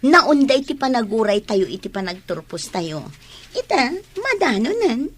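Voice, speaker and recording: A woman's voice: fairly high pitch, very animated, unhurried, a bit bright, exaggerated voice. A young woman with a Filipino accent playing a hopeful, chatty student near graduation in a radio drama. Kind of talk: Ilocano radio drama acting.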